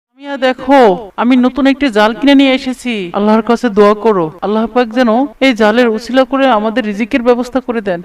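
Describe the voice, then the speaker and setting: A man's voice: extremely high pitch, fairly even intonation, fast, clean tone. A man voicing a village fisherman in a cartoon, speaking in an emphatic, declamatory way. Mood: hopeful. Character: two village fishermen, one pious and poor, one scornful. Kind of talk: dramatic cartoon dialogue.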